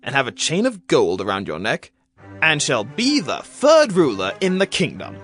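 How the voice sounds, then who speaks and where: A man's voice: medium pitch, huge pitch swings, normal speed, fairly bright tone, soft background music. A man playing a proud Babylonian king in a dramatized scripture reading, speaking in a grand, declamatory way. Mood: imperious. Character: proud male Babylonian king making a royal promise.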